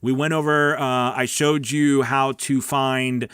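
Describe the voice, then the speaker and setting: A man's voice: medium pitch, flat delivery, slow, a bit bright, smooth, dry recording, clean studio sound. A man hosting a live coaching stream, answering viewer questions in a chatty, informal way and often checking that listeners follow. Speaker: host of a live weekly voice-over coaching stream. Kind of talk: casual livestream Q&A, reading and answering viewer comments.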